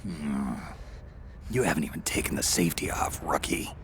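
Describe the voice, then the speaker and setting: An actor playing a gruff, weary, prematurely aged soldier with a gravelly rasp and terse, deadpan delivery. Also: male voice, very deep voice, moderate pace, slight background noise. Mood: scornful.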